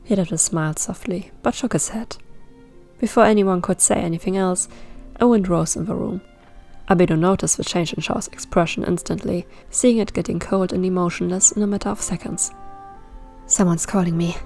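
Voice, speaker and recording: A woman's voice: fairly low pitch, fairly quick, vocal fry, a little mellow. A woman reading fan fiction aloud as scripted story narration. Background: background music.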